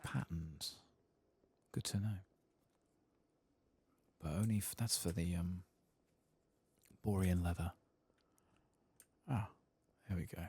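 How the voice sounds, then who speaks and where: A man giving soft-spoken, murmured ASMR commentary while playing a video game, with a husky, breathy voice. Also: deep voice. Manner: quiet, murmured, unhurried.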